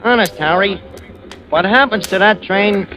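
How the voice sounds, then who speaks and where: An actor playing a shifty, wisecracking New York crook who talks in streetwise slang, in 1940s radio style. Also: male voice, high-pitched, unhurried, rough recording. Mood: smug storytelling. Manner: streetwise slangy talk with bad grammar. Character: shifty, wisecracking American crook posing as a gentleman. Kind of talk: 1940s radio acting, first-person narration. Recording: old radio recording with music behind.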